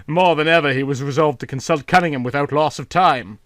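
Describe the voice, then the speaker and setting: A man's voice: fairly high pitch, brisk, a bit bright, no room sound, studio quality. A man reading a literary short story aloud as an audiobook narrator.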